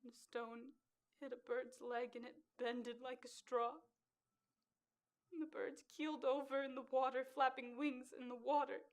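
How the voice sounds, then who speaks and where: A woman performing a stage monologue as a fragile, naive young woman, with plain, halting, childlike phrasing. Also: high-pitched, level tone. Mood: numb, grieving. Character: fragile, haunted, naive young woman recounting cruelty to birds.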